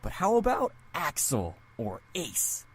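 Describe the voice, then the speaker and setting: Woman doing a shy polite young guy from a visual novel, low husky voice, swoopy pitch, hesitant. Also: huge pitch swings, takes it slowly, heavily acted, low-quality recording. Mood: friendly. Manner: hesitant, apologetic. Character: shy, polite, awkward young businessman's son.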